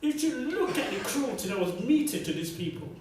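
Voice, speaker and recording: A man, a Yoruba speaker, argues his case at a public debate, hammering home points and asking the audience for agreement. His voice sits unusually high for a man. It is picked up from a distance in a big echoing hall, with faint noise underneath. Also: sing-song, moderate pace. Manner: emphatic, repeats key phrases, asks the room for agreement. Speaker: debate panelist. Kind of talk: impassioned public debate talk. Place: large echoey lecture hall.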